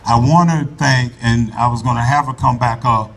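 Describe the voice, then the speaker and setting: A man giving an earnest, folksy address to a crowd at an outdoor rally in a park, speaking loudly into a microphone and urging people to come closer. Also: mid-range voice, fairly even intonation, normal speed. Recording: voice from far off the mic, faint background noise.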